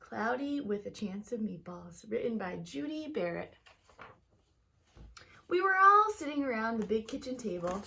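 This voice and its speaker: Higher-pitched woman reading a children's storybook aloud. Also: very animated, unhurried, gravelly, long pauses, sharp tone, poor audio. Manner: talks directly to young listeners. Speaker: teacher reading a picture book aloud to children.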